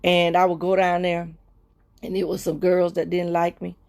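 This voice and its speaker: Woman, livestream host, emotional testimony, preacher-like repetition, soft murmurs between phrases. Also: lowish voice, completely flat delivery, moderate pace. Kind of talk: heartfelt personal testimony to viewers.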